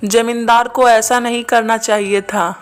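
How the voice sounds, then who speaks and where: Casting: woman playing an earnest, practical young-sounding wife, fairly high voice, music underneath, cartoon moral tale. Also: level tone, measured pace, close to an everyday voice. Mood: concerned. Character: concerned, practical village wife of poor seller.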